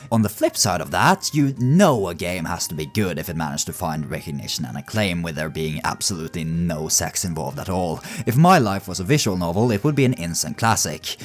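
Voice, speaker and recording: A man's voice: low-pitched, animated, brisk, hardly any pauses. A man narrating casual scripted video commentary in a wry, sardonic, sweary way. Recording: music behind the voice. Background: background music.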